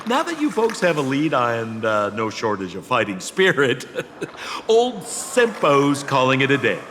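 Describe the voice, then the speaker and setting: A man's voice: medium pitch, wildly swooping pitch, unhurried, a bit bright, long reverb tail, a little hiss or hum. A man playing a jovial, sly, self-styled old sidekick in a video game, with a breezy, chuckling, theatrical manner. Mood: breezy, amused.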